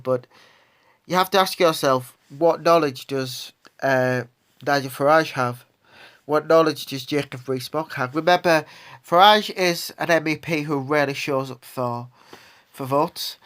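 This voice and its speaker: A man's voice: medium pitch, a bit bright. A stuffy-nosed man ranting. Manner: indignant, rambling, with many pauses and restarts. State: has a cold, stuffy nose. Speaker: vlogger. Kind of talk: solo political opinion monologue.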